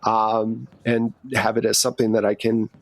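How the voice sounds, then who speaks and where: A man's voice: on the low side, monotone, moderate pace, gravelly, no echo. Casual man running a tabletop game. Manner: conversational, loosely improvised. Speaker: game master.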